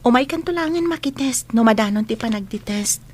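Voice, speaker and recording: A woman's voice: on the high side, lively intonation, a little fast, breathy edge. A woman playing a supportive young female schoolmate in a radio drama, earnest and understanding. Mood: helpful.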